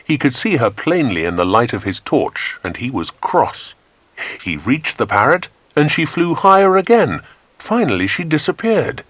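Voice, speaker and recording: A man with an English accent narrating a children's adventure story in a measured, dramatic storytelling manner. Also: mid-range voice, very animated, a bit bright, dry recording. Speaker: audiobook narrator.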